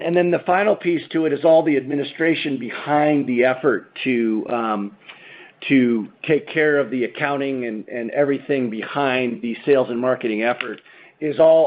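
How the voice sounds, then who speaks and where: Male company executive, American accent, average pitch, methodical explainer, thin telephone sound. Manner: methodical, point-by-point business explanation. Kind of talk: earnings call answer, explanatory. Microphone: conference call phone line. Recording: muffled narrow phone-line sound.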